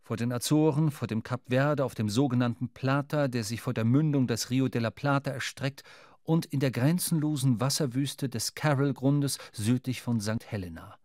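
A man with a mid-pitched voice reads literary narration for a radio drama. He tells it in a measured, dramatic way, in a close, dry studio. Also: a little mellow, no echo.

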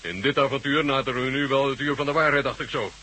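Male actor as dry, weary space marshal, forceful bright voice, faintly noisy old radio recording. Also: mid-range voice, fast, natural voice. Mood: wry, weary. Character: wry, world-weary space marshal, adult man. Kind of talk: science-fiction radio drama acting.

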